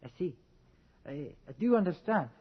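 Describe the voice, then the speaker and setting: A man's voice: high voice, big pitch swings, moderate pace, breathy edge, full character voice. An actor playing a timid, over-eager young male anatomy student in a radio drama, stammering and gushing. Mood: nervous, hesitant. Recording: dull, lo-fi radio drama recording.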